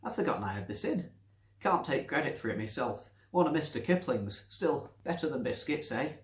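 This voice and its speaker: A man's voice: medium pitch, lively intonation, moderate pace, voice pushed up, natural voice. Guy doing a feisty old northern english widow for a story, teasing, swoopy voice, bit echoey. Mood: matter-of-fact. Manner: blunt, colloquial, teasing. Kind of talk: story reading with character voices.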